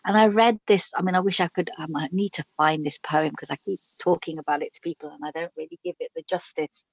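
A woman's voice: lowish voice, steady tone, fast, vocal fry, breathy edge, no room sound, poor audio. A middle-aged woman from Pakistan, a guest telling her personal story in an interview, frank and reflective, with many fillers and restarts.

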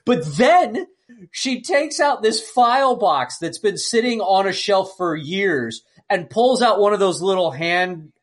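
A man's voice: sits high, expressive, unhurried, a bit bright. A man co-hosting a podcast, chatting casually about films in an exclamatory, incredulous way. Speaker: podcast co-host. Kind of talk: casual film discussion.

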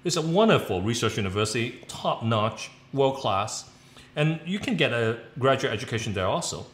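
A man's voice: mid-range voice, expressive, normal speed, fairly bright tone. Middle-aged guy, rambly and earnest, lots of restarts, echoey room. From Hong Kong. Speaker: interview guest. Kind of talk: candid interview answers.

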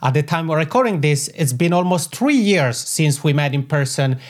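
A man's voice: fairly high pitch, animated, moderate pace. A man in his thirties from the Italian-speaking part of Switzerland, with a Swiss Italian accent, answering as a podcast guest in an eager, expansive way from his home office on a podcasting microphone. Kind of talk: conversational interview answers.

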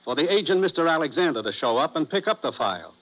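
A brisk commissioner in a 1950s radio thriller. His voice sits a little high and moves quickly with lively pitch, clipped, urgent and official. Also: poor audio. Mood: brisk, serious. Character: brisk businesslike male commissioner briefing his agent. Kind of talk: 1950s radio drama acting. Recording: vintage 1950s radio broadcast.

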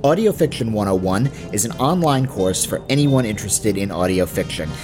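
A man's voice: on the low side, expressive, a little fast. A man hosting a podcast, reading sponsor messages in a conversational way with informal asides. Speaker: podcast host. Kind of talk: casual sponsor read. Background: faint music.

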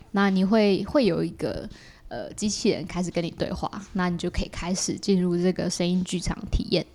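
A woman's voice: fairly low pitch, darkish tone. A woman with a Taiwanese Mandarin accent, a guest artist explaining things in casual conversation.

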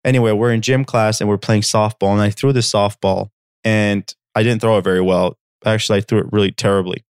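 A man's voice: fairly low pitch, clear, resonant tone, no room sound, studio quality. A man in his thirties from Armenia, a podcast host telling a personal story in an earnest, direct way and checking in with listeners. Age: 30s.

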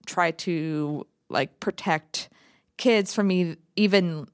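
A woman hosts a casual conversation in a somewhat low, smooth voice. She talks thoughtfully, pausing on fillers and restarting sentences. The sound is dry and close, with no room echo.

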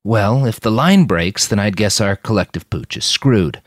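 A man's voice: lowish voice, expressive, moderate pace, no echo, clean studio sound. Man playing a weary, kindly older army major. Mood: grave, frank.

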